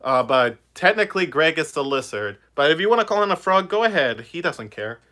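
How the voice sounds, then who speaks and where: A young man from Puerto Rico chats on a phone livestream. His voice sits a little high and sounds bright. He rambles casually and uses lots of fillers. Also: sing-song, a little fast. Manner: chatty, rambling, many fillers. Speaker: puppeteer vlogger. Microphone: phone on a ring light.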